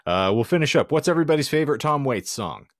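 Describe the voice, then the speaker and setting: A man's voice: medium pitch, animated, fast talker, no room sound, studio quality. A man hosting a casual comedy podcast, joking in mock-grandiose, wordy phrases. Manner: jokey, mock-grandiose wordplay. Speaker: podcast host.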